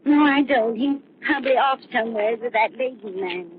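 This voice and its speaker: A woman's voice: a little high, normal speed, phone-quality sound, natural voice. On a thin, noisy vintage broadcast, an actress plays a working-class mother, guarded and distraught. Her speech is curt and folksy, acted in 1930s radio style. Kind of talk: 1930s radio drama acting.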